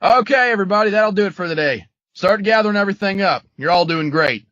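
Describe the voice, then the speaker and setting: A man's voice: sits high, lively intonation, fast, fairly bright tone, dry recording. Male actor as confident drill leader, encouraging, dry muffled lo-fi sound, comic dub drama. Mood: encouraging, commanding. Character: confident, encouraging male firearms instructor among survivors. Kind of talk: amateur comic dub acting.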